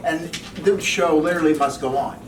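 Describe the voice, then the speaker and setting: Higher-voiced man, hesitant, echoey and distant. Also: moderate pace. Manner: hesitant, careful, tentative. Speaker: former cast member giving feedback. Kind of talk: informal audience feedback. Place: indoor gathering in a home. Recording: echoey, distant mic, noisy room.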